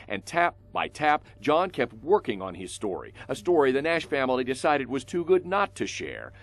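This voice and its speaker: An American man narrating a scripted human-interest news feature as a reporter, in short emphatic phrases with dramatic pauses. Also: medium pitch. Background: music under the voice.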